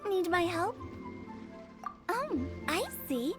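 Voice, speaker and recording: High, playful, teasing young woman. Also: very animated, takes it slowly, music in the background, full character voice. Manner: teasing, coy, sing-song. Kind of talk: video game voice acting.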